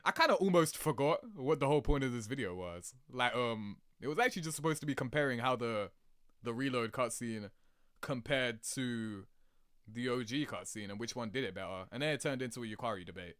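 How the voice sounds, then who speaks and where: A man giving casual, rambling reaction commentary in an animated, slangy, sweary way, laughing easily. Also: medium pitch, brisk, clean tone, dry recording, clean studio sound. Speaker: video creator reacting to game cutscenes.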